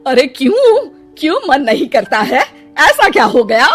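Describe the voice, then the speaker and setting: A woman voicing a haughty, jealous, boastful elderly mother-in-law in an audio story, loud and emphatic. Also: sits high, big pitch swings, measured pace, faint music behind, voice pushed up, heavily acted. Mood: complaining.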